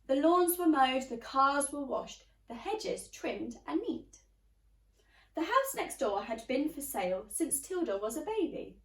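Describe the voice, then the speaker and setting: A woman's voice: high-pitched, lively intonation, measured pace, a bit bright. A woman teacher reading a children's storybook aloud, expressively addressing young listeners. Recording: roomy, slightly distant microphone.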